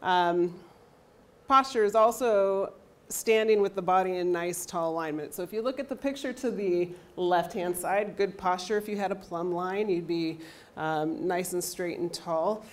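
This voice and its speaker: A woman in her thirties giving a health lecture into a handheld mic in a university lecture hall, loud and energetic, often checking in with the audience. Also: fairly low pitch, a little slow. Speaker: athletic trainer and lecturer. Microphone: handheld microphone.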